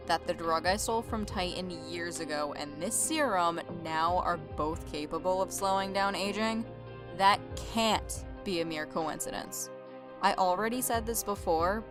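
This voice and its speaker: Woman as a blunt, cursing tough girl; lower voice over steady music. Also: close to an everyday voice. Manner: blunt, profane. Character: blunt, foul-mouthed young woman, Ymir.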